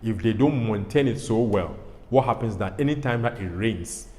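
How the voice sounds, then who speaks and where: Deep-voiced Ghanaian man in an echoey room. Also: expressive, moderate pace, darkish tone. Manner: emphatic, repeats phrases. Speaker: pilot host. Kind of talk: explanatory educational presentation. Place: indoor studio. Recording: echoey hall-like studio, faint noise.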